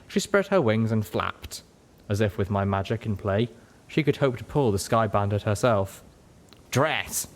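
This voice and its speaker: A man's voice: lowish voice, animated, normal speed. A man reading a scripted first-person adventure story as an audiobook narrator. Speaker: audiobook reader.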